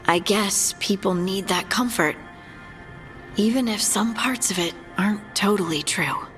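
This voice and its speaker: Earnest fantasy-game scout, played by an actress, unsure and sincere, medium register, cavernous reverb. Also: female voice, mid-range voice, unhurried, a bit bright, faint music behind, close to an everyday voice. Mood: troubled. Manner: hesitant, earnest. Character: earnest, devout young woman scout, hesitant, troubled. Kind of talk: video game voice acting.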